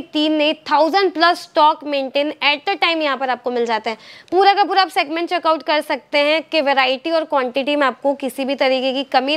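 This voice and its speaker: A young woman from India with a high, bright voice delivers an upbeat, rehearsed sales pitch. She talks fluently and repeats her selling phrases, mixing in English words. It sounds close and clean, like a lapel mic in a shop full of shelves. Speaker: presenter for a wholesale clothing outlet. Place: indoor clothing store with stocked shelves.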